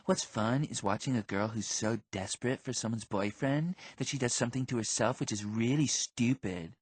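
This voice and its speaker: A man's voice: medium pitch, moderate pace, husky, dry recording, natural voice. A man voicing a cruel, taunting teenage girl in an audiobook.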